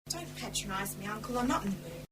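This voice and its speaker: A woman's voice: medium pitch, fast, lightly acted. A woman playing a sullen, blunt young niece in an amateur radio play, curt and irritable. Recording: amateur recording with loud noise and hum.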